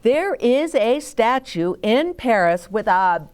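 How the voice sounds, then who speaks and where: A woman's voice: on the high side, big pitch swings, slow, darkish tone, clear, resonant tone. A woman hosting a story time for young children, reading aloud and chatting expressively, explaining things simply and asking the children questions.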